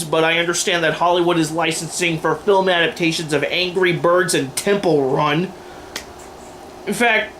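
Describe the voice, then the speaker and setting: A man with a high-pitched voice gives opinionated commentary. He stresses his points emphatically, with a sardonic edge and crude humour. The homemade recording carries some background noise. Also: a bit bright. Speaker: video commentator. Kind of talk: opinionated scripted-style commentary with ad-libs. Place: indoors, in front of a running TV. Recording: clear background noise, home camera.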